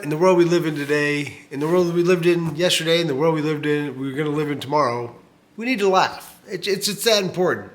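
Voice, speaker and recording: Middle-aged guy rambling about his knives, bright forceful voice, lots of uhs and goofy self-jokes. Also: on the high side. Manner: self-mocking, jokey, rambling with many fillers. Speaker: knife review channel host. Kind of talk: casual rambling knife review.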